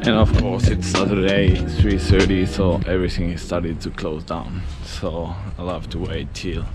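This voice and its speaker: A man's voice: sits low, little pitch movement, moderate pace, over loud music. A young man with a French accent, vlogging casually about his sailing trip with a camera, thinking aloud with fillers. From France.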